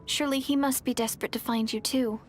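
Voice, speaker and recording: A woman voicing a gentle, wistful young female character in a scripted video game. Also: fairly high pitch, fairly even intonation, quick pace, a little hiss or hum, lightly acted. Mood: wistful, sincere. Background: game music.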